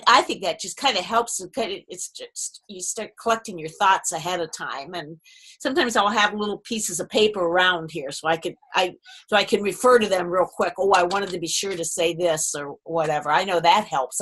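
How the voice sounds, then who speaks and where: Relaxed lower-voiced woman guest. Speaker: author guest. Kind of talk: casual panel chat.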